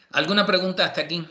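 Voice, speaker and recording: A man from Cuba teaching an online university class, relaxed and chatty with many fillers. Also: sits high, lo-fi sound. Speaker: university professor.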